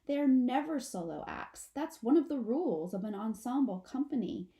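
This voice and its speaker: A woman reading a children's story aloud like a teacher, in a measured, expressive way. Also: on the high side. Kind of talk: storybook narration.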